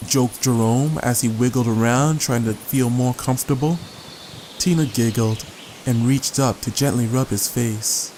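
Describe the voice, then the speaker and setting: A man's voice: medium pitch, a little slow, a little mellow, slight background noise. A man narrating a scripted audiobook story as the narrator. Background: guitar music.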